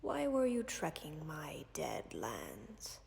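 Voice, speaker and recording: Slow husky-whisper dragon lady, a woman doing her, gravelly and a bit low, dry and commanding, audio roleplay. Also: huge pitch swings, a little mellow, big-room sound, heavily acted. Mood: calm. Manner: measured, formal, dryly commanding.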